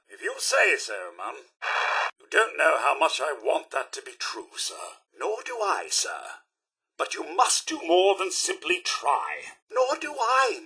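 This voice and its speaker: An actor playing a loyal, disillusioned robot butler in a video game, with a British accent and a formal, theatrical manner full of wounded propriety. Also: male voice, high voice, gravelly, pushed and bright, slightly rough, full character voice. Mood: gently reassuring, then pleading. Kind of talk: video game character dialogue.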